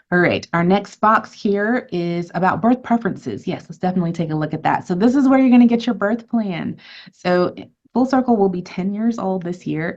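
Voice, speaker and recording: A woman's voice: deep voice, darkish tone, highs cut off. A woman physician giving an educational webinar to patients, friendly and conversational as she answers questions and runs polls.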